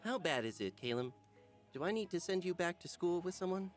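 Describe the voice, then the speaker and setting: A man's voice: high voice, expressive, a little fast. A man voicing a patient, dutiful schoolteacher in a visual novel, with music behind him. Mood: concerned. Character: patient, dutiful male schoolteacher on field trip.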